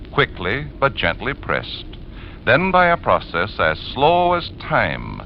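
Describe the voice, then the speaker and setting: A man announcing a scripted 1940s radio advertisement with polished, emphatic salesmanship. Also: mid-range voice. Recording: dull, faintly hissy 1940s radio recording.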